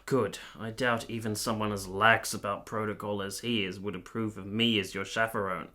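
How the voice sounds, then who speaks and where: Man acting a formal, soft-hearted period gentleman. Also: fairly low pitch, level tone, moderate pace. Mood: resigned, measured. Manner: blunt, formal phrasing, sighing. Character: exasperated but soft-hearted 1870s gentleman. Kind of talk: period radio drama acting.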